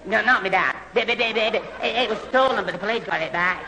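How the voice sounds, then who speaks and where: A man's voice: high voice, quick pace, bright, forceful tone, full character voice. A man playing a dim, muddled but good-natured young lad who stammers and repeats himself in a 1970s radio sitcom. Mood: muddled, gormless. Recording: old hissy radio broadcast, roomy hall.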